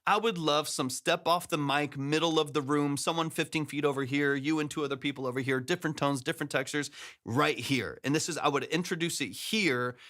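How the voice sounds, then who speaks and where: A man's voice: on the high side, brisk, fairly bright tone. A man, a music producer, giving enthusiastic feedback on a song during a casual livestream, praising it often and restarting his sentences.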